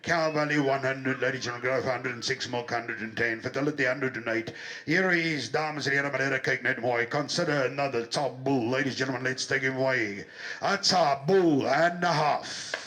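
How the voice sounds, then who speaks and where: A man's voice: mid-range voice, fairly quick, pushed and bright. A man working as a livestock auctioneer, rattling off bids in a rhythmic chant and switching between English and Afrikaans.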